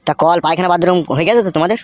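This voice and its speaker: A man's voice: sits high, racing, background noise, natural voice. A man playing a vain, flirtatious party henchman in a comic cartoon, nosy and preachy. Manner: nosy, preachy, smooth-talking. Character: meddling, flirtatious party henchman, vain bachelor of thirty-three. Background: background music.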